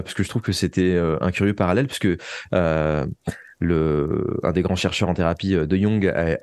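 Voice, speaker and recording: A man's voice: deep voice, a little mellow, no room sound. A man explains things casually as an interview guest, often hesitating and repeating words as he looks for the right phrase. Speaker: interview guest, writer of audio fiction.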